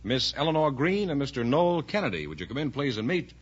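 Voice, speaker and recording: A man's voice: mid-range voice, sing-song, quick pace, husky. A man announcing on a 1950s radio quiz show, on an old muffled recording. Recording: muffled vintage radio with hum.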